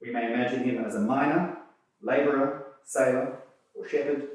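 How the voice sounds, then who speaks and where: A man narrates history from a written script in a heavily reverberant room, set back from the microphone. His delivery is measured and literary, with dry understatement and a middling pitch. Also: fairly even intonation, darkish tone. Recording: distant, very echoey room.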